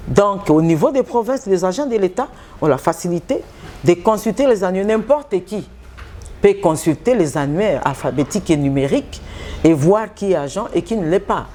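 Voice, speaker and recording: A middle-aged woman, a senior civil-service head answering interview questions in a measured, official way with hesitant fillers. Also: on the low side, animated, warm tone. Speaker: secretary general of the public service.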